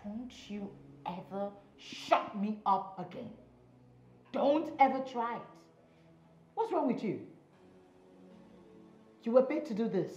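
A haughty young woman in a film drama, cold and imperious as she scolds. Her lowish voice moves in lively swings, recorded in an echoey room. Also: moderate pace. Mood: affronted, scolding. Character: haughty, demanding young girlfriend, cold and defiant. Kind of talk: Nollywood-style film drama acting. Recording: echoey room, muffled, faint music.

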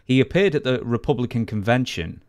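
A young adult man co-hosting a casual podcast from a studio desk mic, matter-of-fact and quick to correct. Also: mid-range voice, expressive, a little mellow, clean tone, no echo. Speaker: podcast co-host. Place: studio room with collectibles on shelves. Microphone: studio desk mic with headphones.

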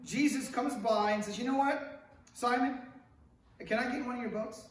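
High-voiced preacher in a very echoey church. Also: male voice, normal speed. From Hollywood, California. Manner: animated, wide hand gestures, asks the congregation questions. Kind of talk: conversational sermon. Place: church sanctuary with stone wall, at a lectern. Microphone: stand mic at the lectern, camera far off. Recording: very echoey sanctuary from far off.

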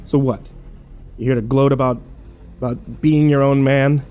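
A man's voice: mid-range voice, fairly even intonation, measured pace, dark tone, soft background music, lightly acted. An actor playing a weary, irritable hospital patient who tells his story in a sullen, sardonic first-person horror narration. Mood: sullen, bitter.

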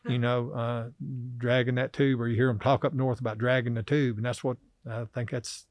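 A middle-aged man, a podcast guest talking casually and haltingly about fishing, full of fillers and restarts. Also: mid-range voice, little pitch movement, dry recording. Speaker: podcast guest, river angler. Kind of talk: casual interview chat. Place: small office studio, indoors. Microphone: desk podcast mic on boom arm.

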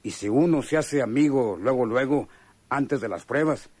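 A man's voice: medium pitch, normal speed, a little mellow, breathy edge, no echo, lightly acted. A Mexican man acting a chummy, sly, hardened convict in a radio drama, talking in slangy, hesitant phrases. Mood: sly, confiding. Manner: slangy, hesitant drawl with knowing chuckles.

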